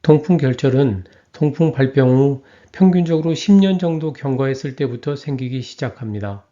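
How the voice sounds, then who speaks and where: A man reading a scripted health lecture in an even, measured way. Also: mid-range voice, lo-fi sound.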